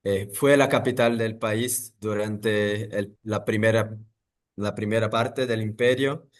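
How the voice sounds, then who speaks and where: A man, talking in a thoughtful, halting way with many pauses and restarts as an interview guest. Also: lowish voice, fairly even intonation. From Brazil. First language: Portuguese. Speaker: architect guest. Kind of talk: interview conversation.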